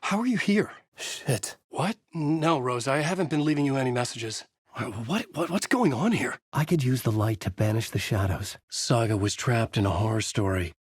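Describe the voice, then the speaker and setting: Man, middle-aged, husky, brooding, noir game narration, dead-dry studio. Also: medium pitch, expressive, moderate pace, dry recording. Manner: brooding, noir-like inner monologue. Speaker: video game protagonist, a writer. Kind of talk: hard-boiled game narration.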